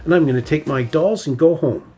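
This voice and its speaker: A man voicing a stubborn, fiery young female bear cub who shouts when crossed. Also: a little high, fairly quick, slightly rough, pitched above the performer's own voice. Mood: angry. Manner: shouty, indignant.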